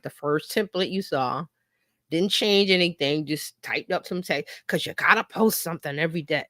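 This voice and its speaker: Bright-voiced woman coaching, dry close sound. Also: low voice, dry recording. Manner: conversational, animated, acts out imagined dialogue, checks in with listeners. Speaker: marketing coach presenting. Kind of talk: informal live-stream teaching talk.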